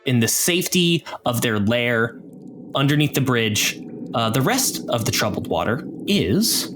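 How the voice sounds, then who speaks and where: A man acting as game master, narrating an improvised story with deliberate pauses as he sets the scene. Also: medium pitch, big pitch swings, music in the background.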